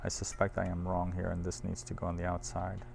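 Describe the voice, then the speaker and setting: A man's voice: deep voice, completely flat delivery, fairly quick, gravelly, a little mellow, slight background noise. A man presenting audio equipment in a casual hands-on demo, informal and thinking aloud as he handles the machine.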